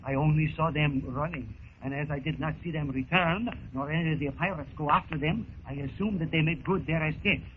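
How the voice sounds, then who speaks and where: A gallant, formal French officer of radio drama, played by a man, polite and composed. The voice is slightly high, on a narrow, phone-like vintage recording. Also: brisk, few pauses, close to an everyday voice. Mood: courteous, calm. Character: courteous, composed French naval lieutenant. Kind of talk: 1930s radio serial acting. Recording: thin, hissy 1930s radio recording.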